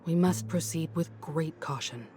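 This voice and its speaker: A woman voicing a solemn, cautious video game character who speaks formally and gravely. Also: sits low, measured pace, mellow, soft background music. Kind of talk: scripted video game dialogue.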